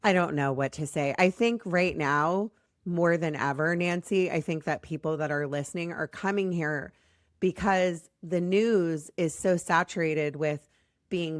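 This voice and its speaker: An American woman hosting a podcast in a chatty, rambling, self-deprecating way, her voice slightly raspy as it recovers from being lost. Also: low voice, no echo, studio quality. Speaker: podcast host.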